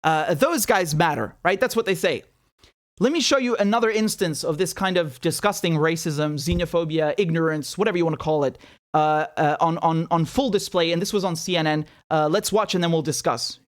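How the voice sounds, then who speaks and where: A man in his thirties, born in Syria, hosting his own commentary show in a small studio, talking straight to camera into a boom mic with indignant, sarcastic energy and frequent stumbling repeats. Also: a little fast. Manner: indignant, sarcastic, repeats words when worked up. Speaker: political commentator host. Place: small indoor studio room. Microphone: studio mic on boom arm.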